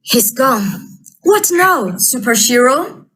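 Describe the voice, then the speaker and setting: A high, very animated voice, a woman acting out a brainy superhero sister in a staged drama. She speaks gravely and dramatically, as if every word is a grim verdict. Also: full character voice. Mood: urgent. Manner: slow, grave, dramatic delivery. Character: brainy superhero sister grimly reporting a betrayal. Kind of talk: dramatic script reading.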